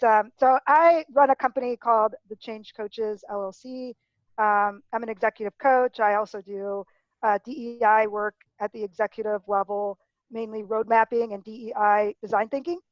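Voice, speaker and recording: A woman's voice: a little high, dry recording. Upbeat woman on a dull-sounding video call. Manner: upbeat, friendly, laughs easily. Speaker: panelist, executive coach. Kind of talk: casual self-introduction.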